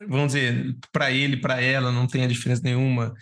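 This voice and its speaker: A man's voice: mid-range voice, little pitch movement, quick pace, a bit bright. A man with a Brazilian Portuguese accent chatting casually and informally as a podcast co-host, using plenty of slang. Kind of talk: casual chat.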